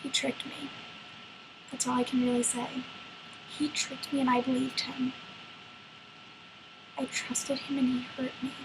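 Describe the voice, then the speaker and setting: Girl acting as a naive teen fairy tale heroine, high voice, chatty and defensive, bit of background noise. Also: creaky, full character voice. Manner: conversational, rhetorical questions, wry. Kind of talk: dramatic monologue, confiding in the listener.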